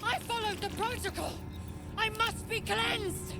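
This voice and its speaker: Haughty alien warrior lady from a fantasy game, high pitched, deliberate, bossy and fierce. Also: steady tone. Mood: desperate, furious. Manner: haughty, clipped, commanding. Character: fierce, proud, imperious female alien warrior. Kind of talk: fantasy video game acting. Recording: loud game music behind.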